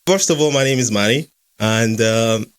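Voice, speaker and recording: A man's voice: mid-range voice, moderate pace, smooth. A young Scottish man hosting a podcast in an easygoing chat with some fillers. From Scotland. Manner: easygoing, with fillers and small laughs. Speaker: podcast host and founder. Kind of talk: casual chat.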